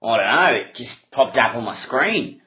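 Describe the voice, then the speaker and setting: A man's voice: medium pitch, very animated, moderate pace, sharp tone, voice pushed down, rough recording. A man voicing Frederick's part, a crude, mock-earnest clownish robber in a comic puppet show. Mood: eager.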